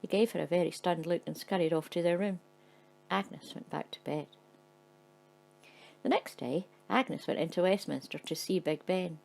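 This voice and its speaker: Gravelly woman narrating a story. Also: on the low side, normal speed, a little mellow, rough recording. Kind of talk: scripted narration.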